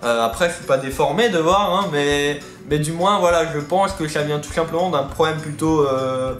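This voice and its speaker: A high-pitched male voice, talkative and rambling as he hosts a casual video. The room echoes a little and soft music plays behind him. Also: moderate pace. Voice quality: atypical articulation, slight lisp-like speech impediment. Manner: talkative, rambling, repeats himself. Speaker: YouTube host of a reptile hobby channel. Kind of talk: casual chatty FAQ video. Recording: roomy echo, faint music behind.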